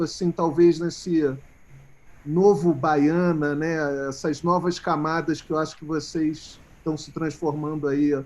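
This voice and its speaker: A man hosting an interview, asking long questions in a relaxed, slightly hesitant way. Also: on the high side. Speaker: interviewer host. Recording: dull lo-fi audio.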